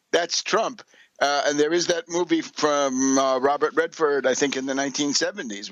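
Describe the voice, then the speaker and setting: A man's voice: mid-range voice, a bit bright, no echo, low-quality recording. A man giving an unscripted political commentary as a radio host, scornful and sardonic, hammering points home with repetition and rhetorical questions.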